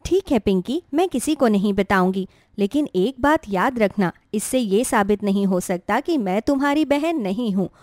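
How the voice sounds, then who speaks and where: A woman voicing a patient, forgiving young elder sister who speaks politely and calmly in a story. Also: medium pitch, normal speed, hardly any pauses, no room sound, lightly acted.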